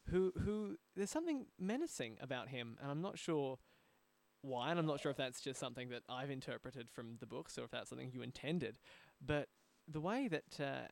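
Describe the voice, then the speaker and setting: A man hosting a conversational interview, speaking in a halting way and repeating words as he goes. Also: mid-range voice, lively intonation, creaky, no room sound. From Australia. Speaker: podcast host, audio drama producer.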